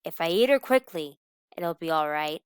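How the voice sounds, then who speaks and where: A woman's voice: deep voice, lively intonation, normal speed, clean tone, dry recording, studio quality. Woman voicing a dramatic, grumbly old storybook wolf. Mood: exasperated. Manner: dramatic, groaning and howling exclamations. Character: grumbly old male wolf, soft-hearted and exasperated.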